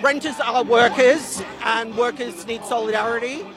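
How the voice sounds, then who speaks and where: A man speaking for a renters' union at a rally, earnest and a little halting. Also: extremely high pitch. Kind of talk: rally statement. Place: outdoor street rally. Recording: music behind, outdoors.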